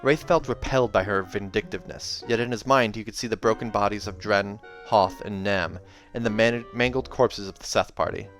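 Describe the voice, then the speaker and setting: A man hosting a read-aloud, moving between expressive storybook narration and casual, chatty asides. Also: on the low side, normal speed, hardly any pauses. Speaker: reader and channel host. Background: soundtrack music.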